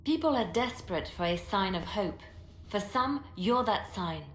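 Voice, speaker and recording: An actress playing a poised, tactful advisor in a fantasy video game, speaking politely and with measured diplomacy. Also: female voice, medium pitch, expressive, lightly acted. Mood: earnest. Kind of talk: video game cutscene acting. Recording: roomy echo, noisy ambience.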